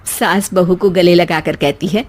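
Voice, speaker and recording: A woman's voice: fairly low pitch, steady tone, measured pace. A woman narrating a scripted moral bedtime story as the storyteller.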